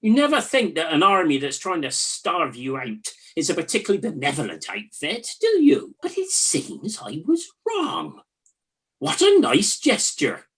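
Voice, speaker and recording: Woman doing a chatty bored trojan guard, wry and gullible, slow talker with really swoopy intonation, radio spoof. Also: lowish voice, huge pitch swings, husky, heavily acted. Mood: earnestly impressed. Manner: wry, conversational comic musing. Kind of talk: comic radio play acting.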